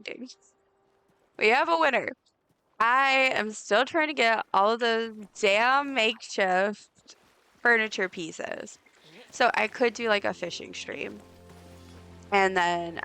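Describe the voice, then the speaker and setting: A woman with a raspy voice chatting casually while streaming a game, rambling with lots of ums and likes. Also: medium pitch. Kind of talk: casual live gameplay chat. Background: soft game music.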